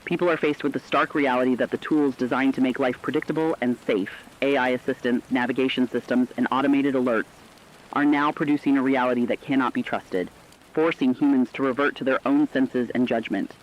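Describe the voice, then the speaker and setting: A man's voice: mid-range voice, fairly even intonation, fairly quick, a little hiss or hum, close to an everyday voice. A man playing a serious crisis correspondent in a radio drama, giving formal scripted analysis on a dull, lo-fi recording. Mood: serious, alarmed.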